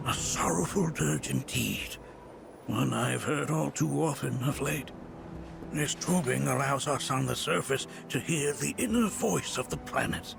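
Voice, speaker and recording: A man playing a genial, learned elderly scholar in a video game, speaking in a courtly, grandfatherly way with a creaky aged voice. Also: mid-range voice, unhurried, background noise. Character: genial elderly male planetology scholar, chuckling, eccentric and learned. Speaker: teacher. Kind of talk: video game cutscene acting. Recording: music behind the voice.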